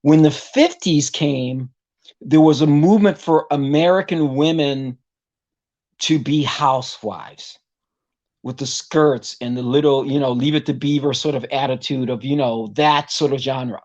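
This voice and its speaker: Animated tenor man telling stories. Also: mid-range voice, measured pace, lo-fi sound. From Puerto Rico. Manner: animated storyteller, acts out voices of others. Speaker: guest vocalist. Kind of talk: casual storytelling interview.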